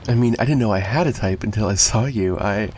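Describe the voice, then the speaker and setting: A man playing a possessive, menacing and flirtatious mafia boss in an ASMR roleplay monologue, taunting and sarcastic with drawling pauses. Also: fairly low pitch, darkish tone, slight background noise, close to an everyday voice, poor audio.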